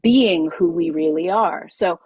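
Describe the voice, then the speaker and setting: Yoga teacher lady on a video call, kinda husky, lowish, dry sound, says stuff twice for emphasis. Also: measured pace, no echo. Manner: expressive, emphatic, repeats key phrases. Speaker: yoga teacher leading a masterclass. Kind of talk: workshop teaching to a group.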